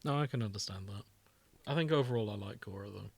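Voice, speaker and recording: A man's voice: on the low side, fast talker, gravelly, slight background noise. Easygoing man in casual chat. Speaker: podcast co-host. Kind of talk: casual unscripted podcast chat.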